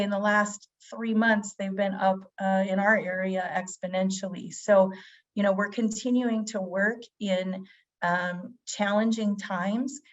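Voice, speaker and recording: A woman physician giving a webinar presentation, her voice a little scratchy as she gets over a cold. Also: medium pitch. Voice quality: slightly scratchy. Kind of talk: webinar presentation, semi-scripted. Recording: dull, band-limited webinar audio.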